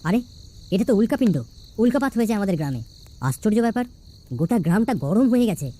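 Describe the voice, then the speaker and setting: A woman's voice: mid-range voice, big pitch swings, fast, mellow. A woman with a West Bengal accent voicing a poor, humble village man in a cartoon, earnest and beseeching. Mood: amazed.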